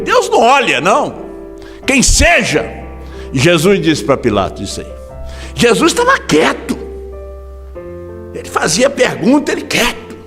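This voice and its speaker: Brazilian pastor preaching, big swings up and down, echo of a church hall, faint music behind. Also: male voice, sits high. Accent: Brazilian Portuguese. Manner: impassioned preaching with sudden shouts and hushed drops. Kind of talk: sermon.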